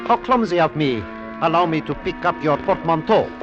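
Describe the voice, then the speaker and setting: Brief: man as a fake-polite heavy with a sneer, lively pitch, muffled old radio. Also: moderate pace, music in the background, natural voice. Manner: mock-polite then snarling. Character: rude thug feigning politeness. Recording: dull lo-fi old radio sound.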